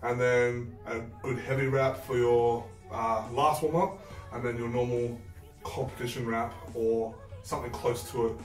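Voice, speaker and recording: A man's voice: mid-range voice, fairly even intonation, measured pace. A man in his thirties giving casual, conversational coaching advice, explaining things step by step. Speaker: co-host, powerlifting coach. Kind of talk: casual instructional chat. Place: small bare-walled room indoors. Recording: roomy echo, distant mic, faint music.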